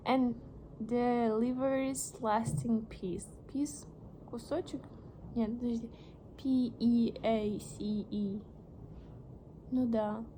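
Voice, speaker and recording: Slow-reading young woman, Russian native. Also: a little high, a little mellow, a little hiss or hum. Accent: Russian, reading English with a slight American tinge. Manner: slow, halting reading with restarts and puzzled asides. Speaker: vlogger. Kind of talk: reading aloud and chatting.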